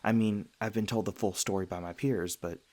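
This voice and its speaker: A man voicing a gentle, self-conscious young-seeming vampire in an intimate ASMR roleplay, talking earnestly with hesitant little stammers. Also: fairly low pitch, steady tone, brisk, lightly acted. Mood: hesitant, somber. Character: gentle, self-conscious male vampire, century old but youthful, sweetly flustered.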